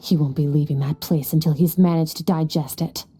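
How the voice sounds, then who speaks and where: An aloof and powerful warrior woman with a very dark, soft-edged voice on the low side. She speaks in cool, deadpan, formal sentences, as in an anime game, with music behind her. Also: a little fast, husky. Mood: composed, cold. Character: aloof, stoic, powerful young swordswoman from the abyss. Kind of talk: anime-style game voice acting.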